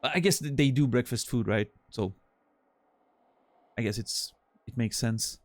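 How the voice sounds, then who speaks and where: A man's voice: mid-range voice, measured pace, darkish tone, dry recording. A man around forty from French-speaking Switzerland, with a Swiss French accent, chatting loosely with his stream chat as he rambles and trails off. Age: 40s. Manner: rambling, self-deprecating, trails off with fillers.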